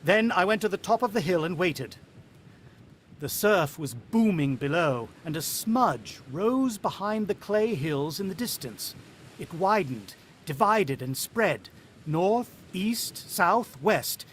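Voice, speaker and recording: Grave, anxious father, high-pitched and animated. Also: slow pace, slight background noise. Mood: ominous, hushed. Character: worried, watchful country father and husband, haunted narrator. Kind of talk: vintage radio drama acting, first-person narration.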